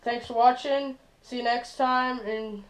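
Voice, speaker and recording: A man's voice: extremely high pitch, fairly even intonation, unhurried. A teenage boy hosting a casual fishing how-to in a small bedroom, talking loosely with lots of "like" and "stuff like that.". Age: teens. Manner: loose, filler-heavy, "like" and "stuff like that". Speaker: fishing channel host. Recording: dull lo-fi camera audio.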